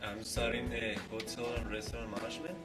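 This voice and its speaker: Flat-voiced Colombian-born man, roomy and distant. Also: fairly low pitch, brisk. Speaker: college student. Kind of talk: testimonial interview. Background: loud music.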